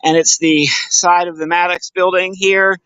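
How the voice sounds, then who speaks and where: Guy poet doing a casual excited walkaround vid, kinda high voice, muffled dry sound. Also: unhurried, clear, resonant tone, no room sound. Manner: enthusiastic, effusive. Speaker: poet promoting his book. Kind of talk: casual walking video tour. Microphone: handheld camera. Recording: dull lo-fi handheld camera audio.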